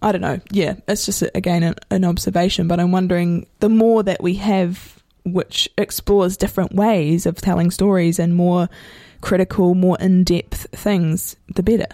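A New Zealand woman interviewing in a loose, thoughtful way, circling her point with restarts and hedges. Her voice is low-toned with a gravelly edge. The sound is close and dry. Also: little pitch movement, moderate pace, hardly any pauses, dark tone, dry recording. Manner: reflective, rambling, many restarts and hedges. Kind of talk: casual conversational interview.

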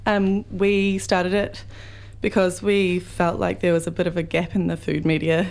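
A woman with a croaky voice, a guest on a radio show, speaking in a friendly, slightly hesitant way. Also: lowish voice, little pitch movement, normal speed, a little mellow. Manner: friendly, a little hesitant, laughs easily. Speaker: guest, graphic designer and publisher. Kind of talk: radio studio interview.

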